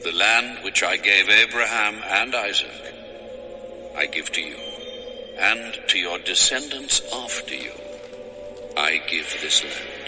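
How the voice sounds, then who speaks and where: A commanding divine male voice for a scripture audio drama. He declares each phrase slowly and solemnly. Long reverb surrounds him, with music underneath. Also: fairly low pitch, animated. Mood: solemn, majestic. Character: God Almighty, solemn commanding male divine voice granting blessings.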